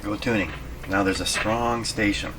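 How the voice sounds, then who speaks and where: A man hosting a radio repair demonstration, talking casually and haltingly, often muttering to himself as he works. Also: fairly low pitch. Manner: muttering to himself while working, halting, repetitive. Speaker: host of a radio repair video. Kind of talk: casual hands-on demonstration commentary. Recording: noisy, with clear background noise. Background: ambient noise from radio being tested.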